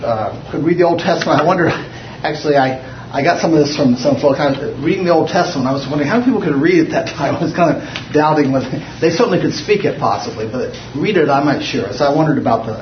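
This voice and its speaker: A man's voice: fairly high pitch, a little hiss or hum. A man from the United States leading an informal Bible study, rambling with frequent restarts and fillers. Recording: muffled, roomy, distant mic.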